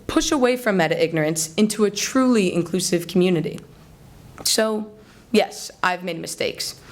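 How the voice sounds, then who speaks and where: This is a young American woman with a medium-pitched voice. She speaks as a student orator, delivering a prepared persuasive address. Her phrasing is earnest and deliberate, shaped for public speaking. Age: young adult. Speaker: student speech contest finalist. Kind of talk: rehearsed persuasive public speech. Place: college hall, before a live audience.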